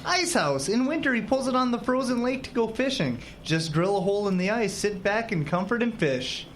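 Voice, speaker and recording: A woman's voice: fairly high pitch, animated, moderate pace, lightly acted. A woman playing a cheerful, patient young small-town husband in an audio drama, upbeat and reassuring. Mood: amused, explaining. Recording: noticeable background noise.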